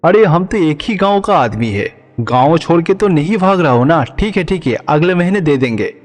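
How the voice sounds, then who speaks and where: An actor playing a bad-tempered village bully, rude, dismissive and threatening, in a cartoon story. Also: male voice, a little high, animated, moderate pace, natural voice. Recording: long reverb, music behind.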